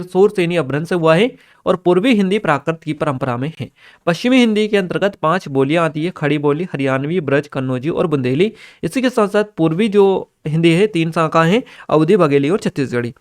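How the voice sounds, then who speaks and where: Guy, slightly high voice, going thru exam questions and answers like a teacher. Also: expressive, brisk. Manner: instructional, reads notes aloud, checks in with listeners. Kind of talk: exam paper analysis lesson, reading out questions and explaining answers.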